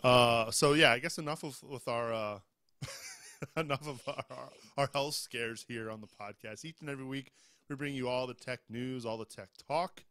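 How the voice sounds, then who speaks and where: A man's voice: medium pitch, normal speed, sharp tone, no room sound, clean studio sound. A man co-hosting a casual tech news podcast, reading headlines in a conversational way with some fillers, just over a cold. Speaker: podcast co-host.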